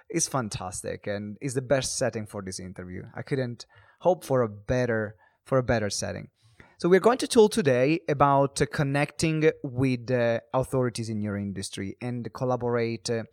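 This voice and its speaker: A man's voice: medium pitch, no echo, clean studio sound. A man hosting a podcast, introducing and interviewing in a showy, emphatic way. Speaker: podcast host.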